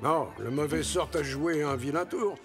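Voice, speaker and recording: Male actor as cartoon nobleman father, middle-aged, dignified, formal, slightly high voice, music underneath. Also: lightly acted. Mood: stern, caring. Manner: formal, measured, paternal. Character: dignified older father, stern but caring nobleman. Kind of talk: French cartoon dubbing.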